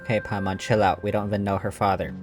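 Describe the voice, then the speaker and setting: A woman's voice: a bass voice, level tone, fast. A woman reacting casually over gameplay as a video creator. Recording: game music behind. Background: game music.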